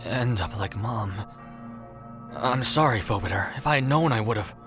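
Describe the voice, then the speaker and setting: A man's voice: medium pitch, animated, measured pace, a bit bright. A man playing a weary elder-brother death god in an audio drama, talking in a dry, casual, sardonic and slightly awkward way. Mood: regretful. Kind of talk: audio drama acting, conversational. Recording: prominent background music bed.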